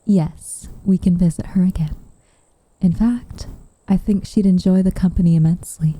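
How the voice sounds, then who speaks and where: Woman playing a very dark, breathy, soft-spoken witch. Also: on the low side, steady tone, no room sound, rough recording. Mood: gently teasing. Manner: soft, breathy, tender, measured delivery. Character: tsundere witch, gentle, wistful, quietly protective woman. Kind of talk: soft roleplay ASMR, intimate and half-whispered. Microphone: close microphone.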